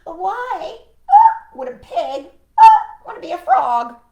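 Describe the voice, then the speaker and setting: A woman voicing a flustered parrot in a children's storybook, exclaiming in halting phrases. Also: very high voice, huge pitch swings, warm tone, voice pushed up, exaggerated voice. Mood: mocking amazement. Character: flustered, amused parrot questioning a pig. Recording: echoey room.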